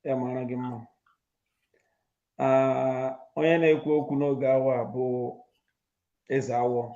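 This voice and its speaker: A man's voice: medium pitch, fairly even intonation, a little slow, dark tone. Nigerian man recounting village history. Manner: mixes Igbo and English, recounting history. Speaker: interviewee, community leader. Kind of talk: casual storytelling interview. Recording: dull lo-fi recording.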